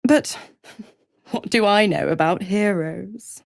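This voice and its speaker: A woman's voice: mid-range voice, slow pace, gravelly, dry recording, studio quality. An actress voicing a gloating, seductive female devil in a video game, with a mocking, theatrical, condescending drawl. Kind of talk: video game character acting.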